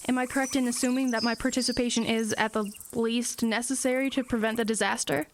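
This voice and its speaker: A woman playing a plucky teenage girl in an audio drama, matter-of-fact, earnest and brisk. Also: a little high, fairly even intonation, slight background noise, close to an everyday voice. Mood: brisk, questioning.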